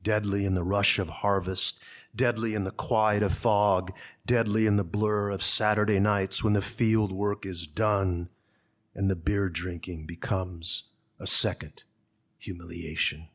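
A man in his sixties from California's Central Valley, an author speaking and reading to the public with measured, deliberate phrasing. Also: lowish voice, level tone. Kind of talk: public talk and literary reading. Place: conference room. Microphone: gooseneck podium microphone.

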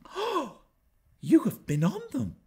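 A man's voice: extremely high pitch, huge pitch swings, slow, gravelly, a little mellow, pitched above the performer's own voice, heavily acted. A man voicing an eager, curious, spirited young girl in a classic storybook reading, pleading and wondering. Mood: gleeful.

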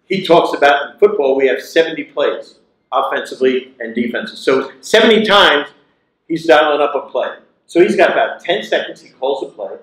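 A man in his sixties giving a motivational keynote speech through a lapel mic, emphatic and repeating his key phrases. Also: mid-range voice. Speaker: keynote speaker. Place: large lecture hall. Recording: echoey lecture hall.

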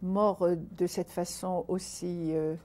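Measured Algerian-born woman writer, mellow voice. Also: lowish voice, steady tone. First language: Arabic. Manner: thoughtful, measured, many pauses and restarts. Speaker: writer interviewed. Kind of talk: reflective interview talk. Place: indoor room by a window. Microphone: unseen, seated interview framing.

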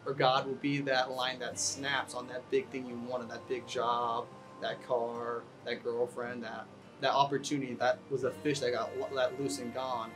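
A young man talking casually about fishing in an interview, relaxed and full of fillers, sitting on an outdoor balcony. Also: mid-range voice, moderate pace. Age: young adult. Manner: relaxed, many fillers, gets excited. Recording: guitar music underneath.

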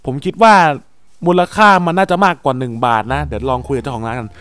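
A man narrating and voicing his own character in a voiced game story, excitable and full of exclamations. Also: mid-range voice, quick pace, clean tone. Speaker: gaming channel host and voice actor.

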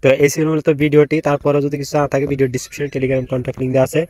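Rapid, flat-voiced man explaining steps. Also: mid-range voice. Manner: rapid step-by-step instructions with fillers. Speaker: online earning tutorial creator. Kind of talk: screen-recorded app walkthrough.

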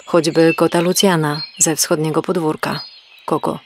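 A woman's voice: low voice, level tone, normal speed, slight background noise. A woman voicing a stubborn, self-important hen who clucks after her phrases in a children's radio play. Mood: officious. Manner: officious, clucking at phrase ends. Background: birdsong.

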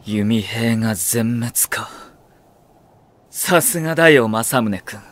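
A man's voice: mid-range voice, slow pace. A man playing a cool, condescending military strategist with refined, superior diction in an audio drama. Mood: cool disdain. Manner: soft-spoken condescension, commanding shouts. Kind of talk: Japanese anime-style audio drama acting.

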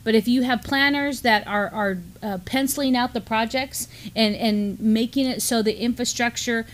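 A middle-aged woman co-hosting a news show, commenting in an explanatory, measured way. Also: fairly high pitch, fairly even intonation. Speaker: news show co-host, professor of urban planning. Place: indoor studio at a desk.

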